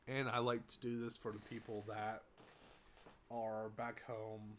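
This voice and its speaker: A man's voice: on the low side, level tone, measured pace, slight background noise. A young American man with a cold, sounding congested, giving a casual rambling update to his viewers with lots of fillers and restarts. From United States. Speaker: vlogger. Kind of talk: casual update talk to camera.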